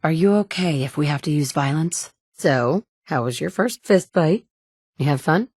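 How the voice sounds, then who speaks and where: A blunt, sardonic wasteland survivor. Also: female voice, deep voice, expressive, moderate pace, a little mellow, husky, dry recording. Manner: dry and blunt, with sarcastic asides. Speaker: player character. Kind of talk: video game voice acting. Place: studio booth. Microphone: close studio mic.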